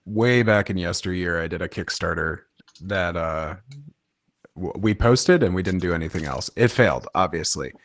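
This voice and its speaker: A man's voice: low-pitched, animated, moderate pace, a little hiss or hum. A man talking casually and conversationally on a panel as a creator. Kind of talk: casual anecdotal panel talk.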